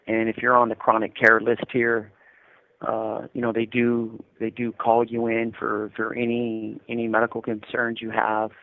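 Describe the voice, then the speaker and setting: A man's voice: medium pitch, completely flat delivery, normal speed, gravelly. A man in his fifties with an American accent, talking conversationally and explaining things over a phone line as an interviewee. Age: 50s. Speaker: incarcerated interviewee calling from prison. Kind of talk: casual phone interview, recounting experiences. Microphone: prison telephone. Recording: tinny narrow-band telephone line.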